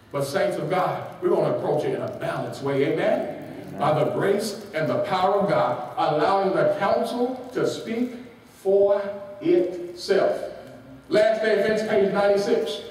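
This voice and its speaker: A man preaching a sermon, reading quotations with their page numbers and asking his congregation rhetorical questions that they answer. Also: high voice. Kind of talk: sermon with call and response. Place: large church hall. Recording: echoey hall from far off.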